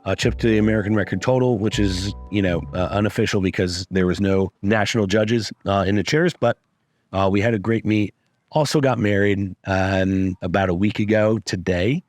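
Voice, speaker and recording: A man coaching lifters, talking through results in a casual, upbeat recap with a raspy voice and plenty of swearing. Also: on the low side, fairly even intonation, moderate pace, no echo. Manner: upbeat, swears freely, many fillers. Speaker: strength coach.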